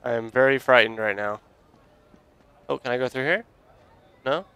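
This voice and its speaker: A young man with a bright, forward voice narrates his gameplay in loose, excitable bursts. He jokes around and swears freely, reacting out loud to everything on screen as he plays. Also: medium pitch, normal speed, smooth. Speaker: let's play gamer. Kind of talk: casual gameplay commentary.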